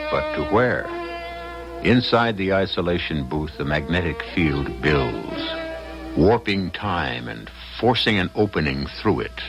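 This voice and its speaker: An actor playing a grave, portentous narrator of eerie tales in an old-time radio drama. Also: male voice, very deep voice, full character voice. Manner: portentous, deliberate.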